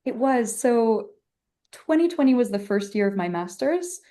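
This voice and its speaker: A young woman from Germany, a violinist and teacher, answering interview questions in a thoughtful, measured way. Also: a little high, lively intonation, warm tone. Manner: thoughtful, measured, uses "kind of" often. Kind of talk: podcast interview.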